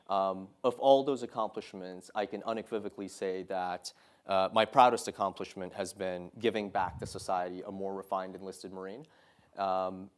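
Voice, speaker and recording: A man in his thirties speaks to a live audience in a lecture room in a slightly low voice. He is earnest and measured, and he fills his pauses with frequent "uh" and "um". It sounds like a guest talk, not a script. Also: smooth. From Saudi Arabia. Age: 30s. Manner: earnest, measured, many "uh" and "um" fillers. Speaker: guest speaker, Marine officer.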